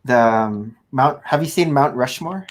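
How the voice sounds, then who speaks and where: A man's voice: mid-range voice, animated, a little slow. A man from Toronto with a Canadian accent, chatting casually as co-host, curious and full of questions. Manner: curious, asks many questions, giggly. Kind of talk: casual chat.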